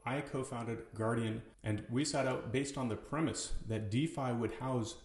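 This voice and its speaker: A man's voice: medium pitch, measured pace, a little mellow. A man hosting a podcast, speaking with casual, slangy enthusiasm in both introductions and conversation. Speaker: podcast host and company co-founder. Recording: roomy, echoey voice recording.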